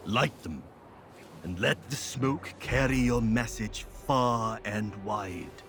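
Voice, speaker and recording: A man's voice: medium pitch, slow pace, fairly bright tone. A man playing a grave, formal old man of principle in a video game cutscene. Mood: composed, apologetic. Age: older. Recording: background music under the voice.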